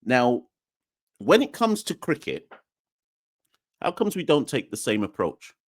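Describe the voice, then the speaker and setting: A man's voice: medium pitch, big pitch swings, unhurried, dry recording, studio quality. A man from Jamaica hosting a cricket podcast in a casual, emphatic way, with lots of fillers and tag questions. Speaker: podcast host. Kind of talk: casual solo podcast talk.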